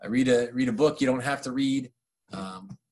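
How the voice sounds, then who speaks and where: A man's voice: medium pitch, normal speed, a little mellow. Middle-aged man hosting casually. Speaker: host of a children's bedtime story show. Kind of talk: casual friendly talk to viewers.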